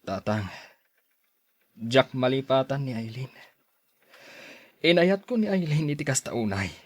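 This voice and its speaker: An actor as a heartbroken, pleading Filipino man, swooping pitch. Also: animated, measured pace, no room sound, clean studio sound, natural voice. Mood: pleading, downcast. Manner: pleading, emotional. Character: heartbroken lovesick man drowning his sorrow in drink. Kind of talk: Filipino radio drama acting.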